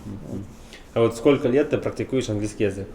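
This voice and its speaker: A man's voice: lowish voice, fairly quick, mellow, a little hiss or hum. A man interviewing a guest, asking his questions hesitantly and searching for words.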